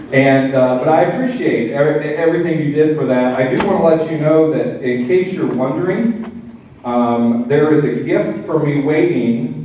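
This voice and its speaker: A man in his fifties leading a staff meeting, chatty and joking with many pauses. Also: fairly high pitch, darkish tone, muffled recording, slight background noise. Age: 50s. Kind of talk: informal meeting remarks. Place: large meeting room with an audience. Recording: echoey hall from far off.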